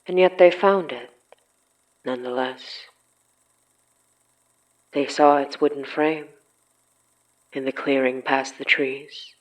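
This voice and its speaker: Horror podcast narrator, extremely slow and deliberate, medium-pitched voice, ominous phrasing with pauses. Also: low-quality recording. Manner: ominous, deliberate, pausing between short phrases.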